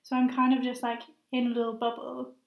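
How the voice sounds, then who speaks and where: High-voiced young woman, hesitant and thoughtful. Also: completely flat delivery, fairly quick. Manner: hesitant, thoughtful, many fillers. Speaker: guest, master's student. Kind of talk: casual conversational interview. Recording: roomy echo.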